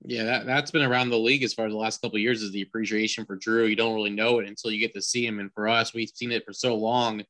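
A man's voice: on the low side, steady tone, fast, few pauses, sharp tone. A man hosting a sports interview in a conversational broadcaster's style. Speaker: sports podcast host and team radio announcer.

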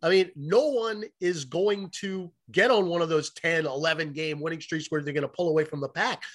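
A man's voice: a little high, moderate pace, fairly bright tone. A man who works as a sports announcer, giving emphatic, opinionated conversational commentary on a podcast. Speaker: radio play-by-play announcer, podcast guest.

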